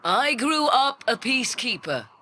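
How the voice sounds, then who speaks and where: An actress playing a solemn, resolute old woman in a television drama, speaking deliberately. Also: on the high side, sing-song, bright, forceful tone, slightly rough, exaggerated voice.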